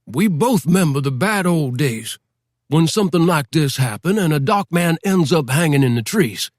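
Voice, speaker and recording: Pushy small-town sheriff, raised nagging pitch, dry read; male narrator doing the voice. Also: animated, moderate pace, no room sound. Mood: pressing. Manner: insistent, repeats himself, pitch pushed up. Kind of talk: audiobook character voice.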